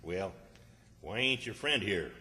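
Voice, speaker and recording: A man's voice: fairly low pitch, animated, takes it slowly, bright, forceful tone, heavily acted. A man playing a flustered, bossy rural Southern character in old-time radio comedy, folksy and exasperated. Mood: puzzled, exasperated. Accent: American South, rural. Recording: echoey hall, long reverb.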